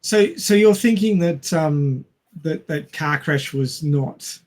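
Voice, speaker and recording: Higher-voiced man, halting casual talk. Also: darkish tone. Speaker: movie discussion podcast co-host. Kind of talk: casual film discussion.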